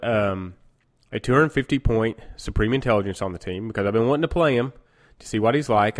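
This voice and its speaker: A man with a cold and a stuffy nose, hosting a gaming podcast in a chatty, rambling way and often correcting himself. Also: lowish voice. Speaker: podcast host. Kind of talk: casual gaming podcast monologue.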